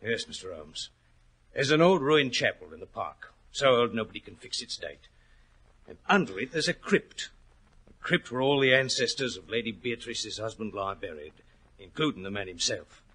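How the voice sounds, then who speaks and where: Worried racehorse trainer, bright husky voice. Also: male voice, on the low side, animated, normal speed, heavily acted, poor audio. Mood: hushed, eerie. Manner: earnest, rustic turns of phrase, dramatic storytelling. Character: worried, plain-spoken racehorse trainer, anxious and respectful. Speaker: actor in a radio drama. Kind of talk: 1940s radio acting. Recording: old 1940s radio recording.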